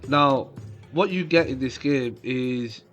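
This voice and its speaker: Nasal guy with a cold, slow rambly game commentary, arcade music playing under him. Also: mid-range voice, a bit bright, muffled recording. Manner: slow, rambling, many fillers. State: has a cold coming on. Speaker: gaming YouTuber. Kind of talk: casual gameplay commentary.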